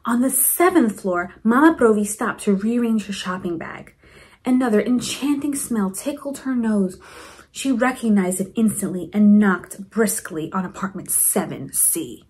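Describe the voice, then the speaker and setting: A woman reading a storybook aloud to children in an expressive way, with chatty asides to her young listeners. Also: medium pitch, measured pace, slight background noise, low-quality recording. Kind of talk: animated children's read-aloud.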